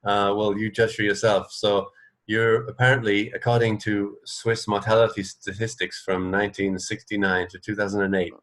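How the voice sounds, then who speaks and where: A man with a low-pitched voice co-hosts a radio show. He talks in a casual, chatty style, pausing and rephrasing as he thinks aloud. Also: flat delivery, fairly bright tone. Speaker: radio co-host. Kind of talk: casual chat between music.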